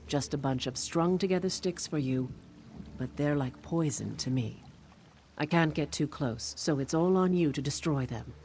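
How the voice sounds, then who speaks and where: A woman's voice: low-pitched, a little mellow. A woman playing a fierce young forest shapeshifter witch in a video game, speaking in a blunt, guarded manner. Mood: blunt, defiant. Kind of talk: video game character dialogue.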